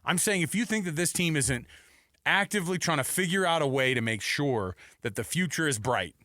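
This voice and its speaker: Animated American sports radio man, dry studio sound. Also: a little high, brisk, dry recording. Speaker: sports radio show host. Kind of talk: live sports talk radio banter and ad reads. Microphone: close studio microphone.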